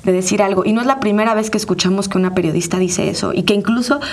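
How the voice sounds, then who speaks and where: A woman with a Mexican accent co-hosting a conversational interview, pausing as she searches for words. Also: medium pitch. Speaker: co-host.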